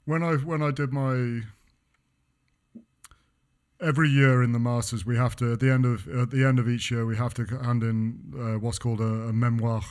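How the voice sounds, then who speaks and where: A man's voice: medium pitch, flat delivery, moderate pace, a little mellow, clean tone. A man from England co-hosting a podcast, chatting casually and rambling with restarts and self-mocking jokes. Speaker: podcast co-host. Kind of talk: casual chat.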